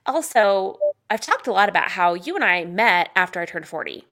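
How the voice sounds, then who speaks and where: A woman in her forties co-hosting a podcast, chatting candidly and excitably. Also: medium pitch, moderate pace. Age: 40s. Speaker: podcast co-host. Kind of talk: casual chat.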